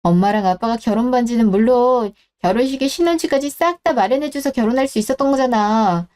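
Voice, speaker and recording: A woman's voice: a little high, a little fast, darkish tone, lightly acted. A woman playing a blunt, exasperated middle-aged daughter who nags and complains in a radio drama. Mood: indignant. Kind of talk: Korean radio-drama story acting.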